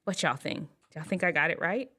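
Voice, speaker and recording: A woman from Jamaica chatting casually to camera, telling stories and laughing at herself, with big upward swoops when she gets excited. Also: fairly low pitch, brisk, very noisy. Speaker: vlogger.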